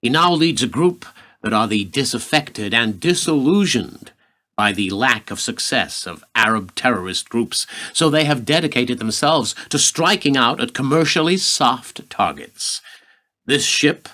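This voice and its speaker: Guy doing serious defense secretary in a radio play, formal, sharp edged voice, lots of inflection. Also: medium pitch, expressive, a little slow. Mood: grave, urgent. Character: male Secretary of Defense, grave, formal, well-informed adviser.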